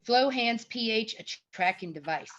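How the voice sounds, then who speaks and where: A woman's voice: fairly high pitch, animated, normal speed, muffled recording. A woman reading scripted screenplay narration and stage directions.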